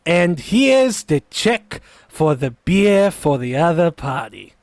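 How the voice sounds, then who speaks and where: A man plays a polite nightclub waiter with sly salesman patter. His voice is high and unhurried. The sound is close and dry. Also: very animated, no room sound, full character voice. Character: smooth, upselling nightclub waiter.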